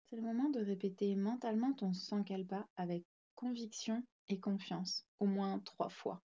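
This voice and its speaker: A woman narrates a guided relaxation session as a yoga nidra teacher. Her voice is mellow and relaxed, set at a medium pitch. She gives gentle, soothing instructions in short phrases, and the recording sounds a little dull. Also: normal speed.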